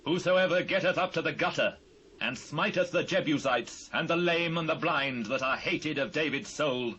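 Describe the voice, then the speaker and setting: Bold warrior king for audio Bible drama: highish, sharp, rough-edged, rousing, on dull old tape. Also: normal speed. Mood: bold, commanding. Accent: British. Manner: declamatory, rousing. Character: King David, bold forceful warrior leader. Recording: dull, band-limited old cassette.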